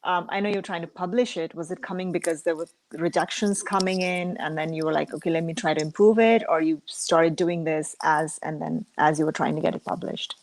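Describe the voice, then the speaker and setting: A woman's voice: deep voice, steady tone, brisk, hardly any pauses, a little mellow, poor audio. A woman hosting a casual interview, encouraging her guest with frequent short responses and questions. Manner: encouraging, frequent backchannels and repeats. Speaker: interview host.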